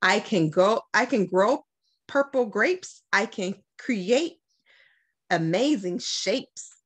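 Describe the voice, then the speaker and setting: Middle-aged woman, American accent, lively intonation, unhurried, expressive storytime delivery. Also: mid-range voice, slightly rough. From Detroit, United States. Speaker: school principal reading aloud to children. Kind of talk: storytime picture-book read-aloud. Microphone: webcam at about arm's length.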